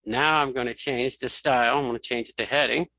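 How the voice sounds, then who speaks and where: A man giving a casual, step-by-step software tutorial, thinking aloud and talking through each keypress informally. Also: mid-range voice, fairly even intonation, a little fast, dry recording. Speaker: screen reader tutorial instructor.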